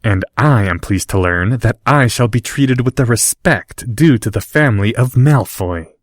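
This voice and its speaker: A man's voice: mid-range voice, lively intonation, moderate pace, no echo, clean studio sound, natural voice. Guy doing a stuck-up posh kid, lots of up and down in the voice. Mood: haughty. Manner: lofty, condescending. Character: haughty rich young boy, snobbish and proud.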